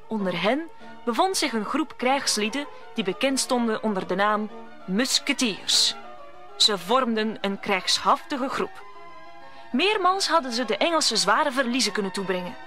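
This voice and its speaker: A woman's voice: a little high, expressive, a little slow, sharp tone, faint music behind. A woman narrating a scripted radio play as a storyteller.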